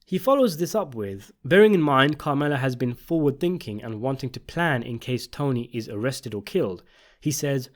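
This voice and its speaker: A man delivers scripted opinion commentary in a mid-pitched voice. His intonation is very lively, rising and falling widely as he makes his points. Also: moderate pace. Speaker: video essay narrator.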